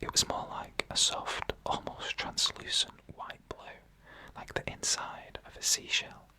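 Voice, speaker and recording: A narrator reading scary stories in a hushed ASMR whisper, with slow, deliberate phrasing and pauses. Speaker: narrator and host of a scary story show.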